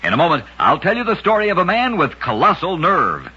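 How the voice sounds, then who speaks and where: A man narrates strange true tales for an old-time radio program, his voice husky and sharply bright. He rides the sentences up and down with lively emphasis and clips each story off with a punchy tag. The old broadcast recording sounds dull and lo-fi. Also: high voice. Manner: brisk, emphatic storytelling with punchy catchphrase endings.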